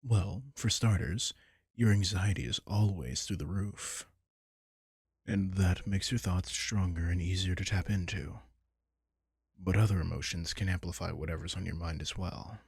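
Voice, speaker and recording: A man playing a protective, gentle vampire king in an intimate roleplay audio, talking unhurriedly and soothingly to one listener in a gravelly, husky voice. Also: low-pitched, fairly even intonation, normal speed, no room sound, studio quality, exaggerated voice. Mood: earnest, confiding. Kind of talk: intimate roleplay audio drama, comforting monologue.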